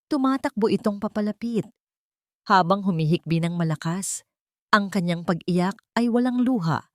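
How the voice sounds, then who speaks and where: Low-pitched woman narrating a dramatic love story. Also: a little mellow, no echo, clean studio sound.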